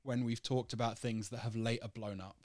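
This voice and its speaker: A young man chatting on a podcast, his voice sitting in an ordinary middle pitch. He jokes, laughs often and stretches out his points. The sound is dry and close to the mic. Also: fairly even intonation, a little mellow, no echo. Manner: joking, laughs often, drawn-out explanations. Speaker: podcast co-host. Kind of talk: casual chat.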